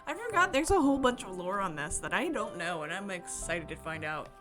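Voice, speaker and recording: A woman game streamer expressively reading a visual novel aloud, breaking into excited, chatty asides. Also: medium pitch, a little fast. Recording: music behind the voice. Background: game music.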